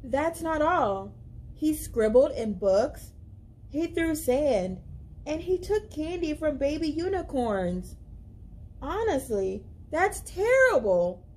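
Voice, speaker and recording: A young woman reading a children's picture book aloud as a library storyteller, with expressive, deliberate, dramatic emphasis. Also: high voice, slight background noise. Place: indoors, library among bookshelves.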